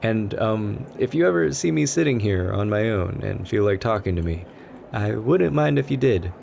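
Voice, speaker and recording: Man playing a shy adorkable guy, deep gravelly voice, gentle nervous rambling, asmr roleplay. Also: animated, normal speed. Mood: sweet, apologetic. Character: shy, anxious, adorkable young stranger in a coffee shop. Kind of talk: ASMR roleplay, soft conversational monologue. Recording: background ambience noise. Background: coffee shop ambience.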